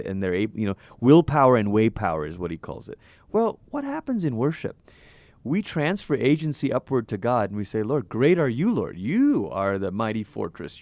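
A man from Malaysia, a pastor, talking in a lively, thoughtful way in an interview and laughing easily. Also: mid-range voice, dry recording. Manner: animated, thoughtful, quick to laugh. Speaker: pastor and author. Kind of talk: conversational interview.

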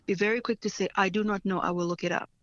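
A woman's voice: medium pitch, steady tone, fast talker, a bit bright, no room sound. Earnest woman on a dull, dry line. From Africa. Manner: earnest, frequent restarts and "right?" checks. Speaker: guest, nonprofit leader. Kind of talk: reflective interview answers.

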